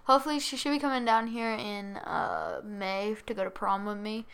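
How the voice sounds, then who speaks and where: A woman's voice: mid-range voice, normal speed, fairly bright tone, clean tone. A teenage girl co-hosting a podcast in casual chat, talking with a slight stutter and laughing easily. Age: teens. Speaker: podcast co-host.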